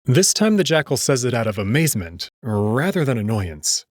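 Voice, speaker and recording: Man narrating dramatically, expressive intonation. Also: mid-range voice, moderate pace, no echo, studio quality. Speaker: narrator and reader. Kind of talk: dramatic first-person story narration.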